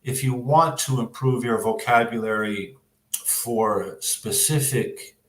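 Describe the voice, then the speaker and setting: A man with a Canadian accent explaining exam advice as an English teacher, in a measured way with frequent hesitant pauses. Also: mid-range voice. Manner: measured, hesitant, pausing often. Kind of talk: explanatory interview answers. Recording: roomy, echoing sound.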